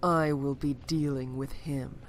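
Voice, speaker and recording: A woman's voice: very deep voice, steady tone, measured pace. A woman playing a cold, stern cult enforcer in an audio drama, curt and disdainful. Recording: noisy hobby microphone recording.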